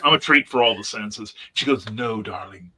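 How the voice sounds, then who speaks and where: Very bright, forceful-voiced middle-aged man. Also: lowish voice, normal speed, slightly rough. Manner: wry, joking, acts out quotes. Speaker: panel guest, writer. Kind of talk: casual anecdote telling.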